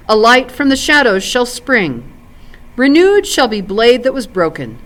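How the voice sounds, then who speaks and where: A woman's voice: on the high side, a bit bright. A woman voicing a testy, impatient old male halfling who speaks with indignant emphasis in a storybook.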